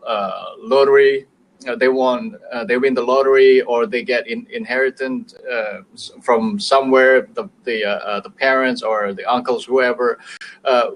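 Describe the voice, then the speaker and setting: A middle-aged man with an Indonesian accent speaks in a mid-pitched voice on a business talk show. He pauses often, fills gaps and restarts words, with an occasional chuckle. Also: poor audio. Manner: hesitant, many fillers and restarts, chuckles. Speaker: business show guest expert.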